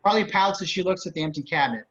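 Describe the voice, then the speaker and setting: A man with a slightly high voice reads scripted stage directions. The pace is quick, and the sound is a bit dull, as on a video call. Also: animated, fairly bright tone. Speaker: narrator reading stage directions. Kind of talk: scripted narration for a table reading.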